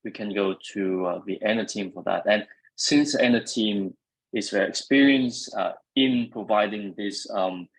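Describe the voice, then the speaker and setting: A man's voice: on the low side, moderate pace, poor audio. A man with a Vietnamese accent moderating an online panel, hesitant with many fillers. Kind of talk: webinar moderation.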